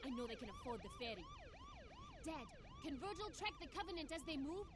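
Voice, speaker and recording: A caring, headstrong young woman in a game audio drama. Her high voice moves in lively sweeps and sounds earnest and urgent. Clear city noise and vehicles sit behind her. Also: fairly quick, heavily acted. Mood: determined. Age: young adult.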